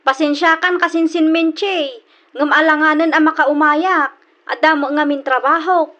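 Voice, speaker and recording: A woman's voice: high voice, steady tone, unhurried, fairly bright tone, muffled recording. A woman playing a frank, practical cousin in a radio drama, matter-of-fact, with an Ilocano accent. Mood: apologetic.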